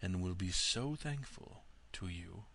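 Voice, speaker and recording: A man voicing a sly storybook wolf who gives scheming advice in a slow, confiding drawl. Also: sits low, expressive, vocal fry, pushed and bright, slight background noise, heavily acted. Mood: sly, reassuring.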